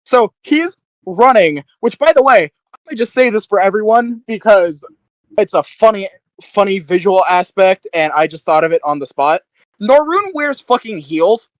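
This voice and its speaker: A man's voice: high voice, lively intonation, normal speed, no room sound, low-quality recording. A man playing a tabletop role-playing game, chatting casually with slang and swearing as he describes his character's actions.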